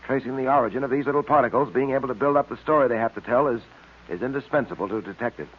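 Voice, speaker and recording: A man's voice: medium pitch, very fast, slightly rough, lightly acted. Tinny old-radio American detective hero, played by a man. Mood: instructive, composed. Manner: composed, explanatory, instructive. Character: confident, brainy male police detective hero. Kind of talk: old radio serial acting. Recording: tinny, band-limited old radio recording.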